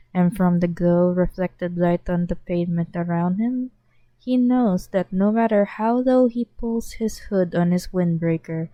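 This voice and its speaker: A woman's voice: lowish voice, warm tone, dry recording. A woman reading a fanfiction story aloud as an audiobook, earnest, with occasional small stumbles and self-corrections. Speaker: podfic reader.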